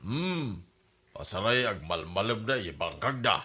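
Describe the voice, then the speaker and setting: A man's voice: lowish voice, very animated, measured pace, bright, forceful tone, heavily acted. A man with an Ilocano accent playing a sympathetic, patient middle-aged father in a radio drama. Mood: matter-of-fact.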